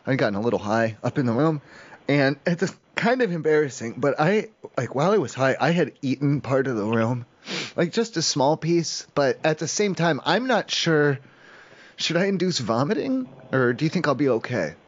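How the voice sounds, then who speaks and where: A man making a prank call, rambling haltingly as a naive, stoned-sounding caller. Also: a little high, very animated. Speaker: prank caller, show host. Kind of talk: improvised prank phone call. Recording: muffled, dull lo-fi call audio.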